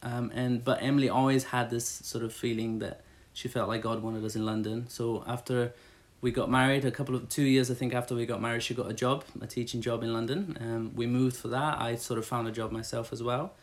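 A man's voice: medium pitch, fairly even intonation, brisk, hardly any pauses. Chatty man, rambling church-staff interview. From Brazil. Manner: chatty, rambling, many fillers and restarts. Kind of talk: casual interview answers.

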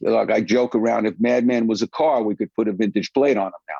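Husky low-voiced New man. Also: brisk, dark tone, no room sound. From New York City. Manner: rambling, repeats words. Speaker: film producer guest. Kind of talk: casual conversation. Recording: dull, lo-fi, dry sound.

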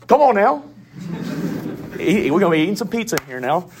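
A man preaching a sermon in a Southern US accent, with emphatic declarations, quiet asides and folksy humor. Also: high-pitched, very animated, normal speed, noisy background. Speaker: pastor.

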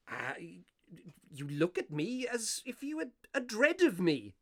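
A man acting as a curious, courteous Victorian gentleman who narrates a ghost story in a radio play, with theatrical, deliberate phrasing. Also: high-pitched, wildly swooping pitch, dry recording, studio quality, heavily acted. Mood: courteous, puzzled. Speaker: narrator of an audio drama.

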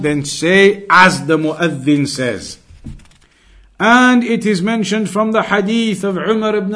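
A man's voice: high-pitched, fairly bright tone. A man giving an Islamic religious lesson in an emphatic, didactic manner, repeating key phrases as he explains scripture. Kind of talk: religious lecture, quoting and translating scripture.

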